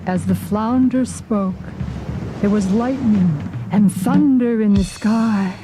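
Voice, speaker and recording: A woman's voice: medium pitch, slow pace, noisy background. A woman narrating a folk tale as scripted storybook narration for English learners.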